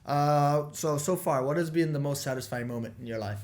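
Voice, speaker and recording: A man's voice: a little high, fairly quick, a little mellow. A young man hosting a podcast in a casual, encouraging, slangy way. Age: young adult. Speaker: podcast host. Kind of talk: casual chat. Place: small indoor podcast studio, seated at a desk. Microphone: desk boom-arm studio mic with pop filter.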